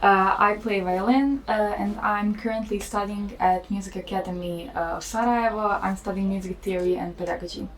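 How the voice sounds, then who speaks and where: A woman's voice: mid-range voice, steady tone, brisk, hardly any pauses, a little hiss or hum. A young woman from Bosnia and Herzegovina with a Bosnian accent, a violin student introducing herself hesitantly with many fillers. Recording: distant mic.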